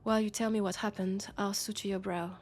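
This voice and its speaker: A woman with a French accent playing a worried, exasperated female police colleague and roommate in an intimate sci-fi audio roleplay, speaking softly and hesitantly. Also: mid-range voice, little pitch movement, fast talker, faint music behind, lightly acted. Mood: matter-of-fact, coaxing.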